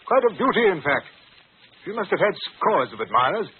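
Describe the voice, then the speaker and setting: An actor playing a blustering, pompous British schoolmaster in the manner of 1940s radio drama. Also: male voice, high voice, very animated, moderate pace, slightly rough, full character voice. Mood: genial, curious. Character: blustering, indignant gentleman schoolmaster. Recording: tinny, noisy old radio recording.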